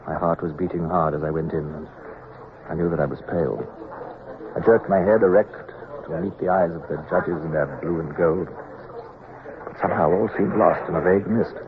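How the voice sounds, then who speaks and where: Deep-voiced earnest naval captain. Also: male voice, phone-quality sound. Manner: earnest, dramatic, introspective. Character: earnest, anxious male naval captain telling his story. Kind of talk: first-person narration, old-time radio acting. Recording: phone-thin old radio recording, hissy.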